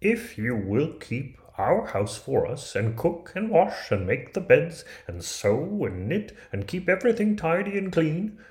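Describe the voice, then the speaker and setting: Man playing a high-voiced, fussy little fairy tale dwarf. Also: sing-song, measured pace, few pauses, a little mellow. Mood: kindly, cautioning. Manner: exclamatory, emphatic storybook character delivery. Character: small male dwarves of the mines, fussy, astonished, kindly. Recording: roomy echoing recording.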